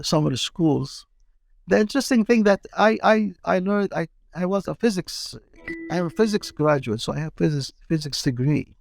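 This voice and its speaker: A middle-aged man from Palestine, a teacher speaking as a guest in a casual interview, halting and often repeating his words. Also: a little high, creaky, no echo, clean studio sound.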